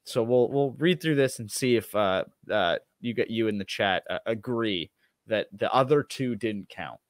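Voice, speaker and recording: A man co-hosting a casual political livestream, reading an article aloud with halting, sardonic asides. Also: fairly low pitch, animated, no echo. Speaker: show co-host reading and commenting on an article. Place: indoor room at home, livestream video call.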